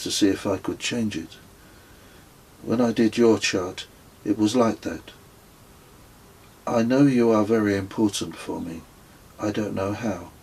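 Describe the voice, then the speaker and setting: A man voicing an earnest, tender male astrologer in a storybook, speaking gravely and deliberately. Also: lowish voice, a little mellow, rough recording.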